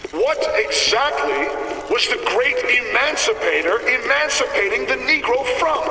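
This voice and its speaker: Older fanatical prophet, game villain, grandiloquent sermon delivery, slow and sweeping, heavy distant reverb. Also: male voice, very high voice, sharp tone, slight background noise, full character voice. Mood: bitter, scornful. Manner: pompous, grandiloquent, dramatic pauses. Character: zealous, menacing older male prophet and demagogue. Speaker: main antagonist. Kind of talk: theatrical sermon oratory.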